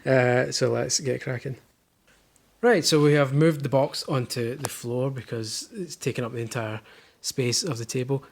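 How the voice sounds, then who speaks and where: A man's voice: medium pitch, moderate pace, creaky. A young Scottish man chatting casually at home as he unboxes, with dry jokey asides. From Scotland. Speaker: vlogger unboxing a baby box. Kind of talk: casual chat. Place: living room at home.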